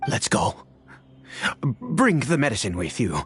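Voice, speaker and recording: A man's voice: mid-range voice, huge pitch swings, slow pace, over music. An actor playing a weary, grieving immortal father in a video game, with theatrical and hesitant delivery that swings between murmurs and anguished outbursts. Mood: grim, restless.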